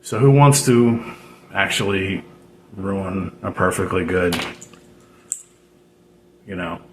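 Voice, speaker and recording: A man giving a casual, unscripted DIY how-to in a halting, rambling way, repeating himself as he explains. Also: deep voice. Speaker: DIY radio hobbyist presenter. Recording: roomy echo, noticeable background noise.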